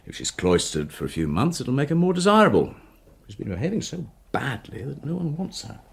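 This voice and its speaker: A man's voice: medium pitch, big pitch swings, brisk, creaky, slightly rough, full character voice. An actor playing a blunt, worldly man in a radio drama, speaking frankly and dryly. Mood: wry. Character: blunt worldly man, local agent, Mr Dean.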